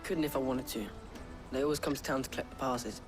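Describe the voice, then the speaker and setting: A man's voice: mid-range voice, fast talker, over music, close to an everyday voice. A male actor playing a poor, defensive, streetwise young pickpocket in a video game. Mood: helpful.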